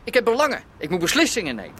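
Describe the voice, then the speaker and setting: An actor playing a slick, self-serving Rotterdam gangster in a radio drama, talking in an argumentative, businesslike way. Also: male voice, high voice, wildly swooping pitch, rapid-fire, fairly bright tone, slightly rough, heavily acted. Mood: pressing, insistent. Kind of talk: naturalistic radio drama dialogue.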